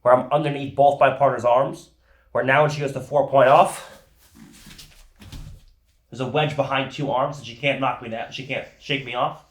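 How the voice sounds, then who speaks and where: A man's voice: mid-range voice, fairly even intonation, moderate pace, long pauses, reverberant. A man with a cold teaching grappling techniques in a casual, explanatory way, often checking in with "okay". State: has a cold, sniffling. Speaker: grappling instructor. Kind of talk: instructional teaching.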